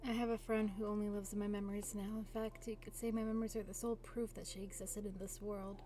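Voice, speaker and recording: A woman voicing a gentle, earnest, steadfast young traveler in a video game. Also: medium pitch, little pitch movement, fast, a little hiss or hum, natural voice.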